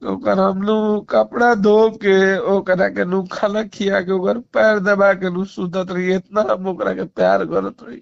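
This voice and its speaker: A man's voice: sits high, animated, unhurried, creaky, few pauses, no room sound. A man with a Bhojpuri accent in an emotional private argument, pleading and agitated, repeating himself, on a recorded phone call. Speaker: caller in a personal phone argument.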